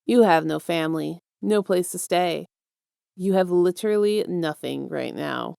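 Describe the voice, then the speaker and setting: A smug, casual male villain mastermind, acted by a woman, pitched low. He coaxes and teases softly, with affectionate confidence. The story reading sounds dry and close. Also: measured pace, creaky, clear, resonant tone, no room sound. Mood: soft, coaxing. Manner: teasing, coaxing, soft-spoken, self-assured.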